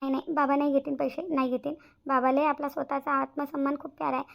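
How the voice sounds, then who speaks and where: Very high-pitched, earnest young bride-to-be acted by a woman. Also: level tone, fast talker, gravelly, lo-fi sound. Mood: resigned, anxious. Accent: Varhadi Marathi from Vidarbha. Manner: earnest, repeats phrases emphatically. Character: anxious, selfless young bride-to-be, tenderly devoted. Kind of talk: cartoon family drama dialogue.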